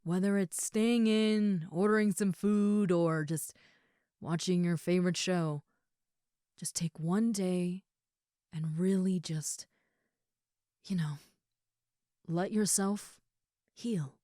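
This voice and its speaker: Woman as a slow-talking, gentle ogre roommate. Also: fairly low pitch, steady tone, no echo, clean studio sound. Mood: soothing, understanding. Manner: soothing, earnest, hushed at times, with pauses and sighs. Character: gentle, caring seven-foot-six muscular female ogre roommate. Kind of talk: intimate comfort roleplay audio.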